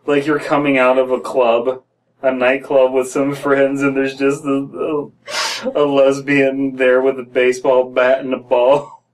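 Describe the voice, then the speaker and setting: A young American man giving casual, comedic commentary as a co-host, in a halting way that repeats words. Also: medium pitch, flat delivery. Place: small dim room indoors. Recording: somewhat distant from mic.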